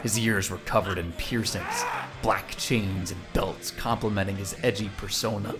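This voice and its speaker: Low-voiced male storyteller over music. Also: steady tone, moderate pace. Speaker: narrator. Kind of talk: scripted narration of an audio drama.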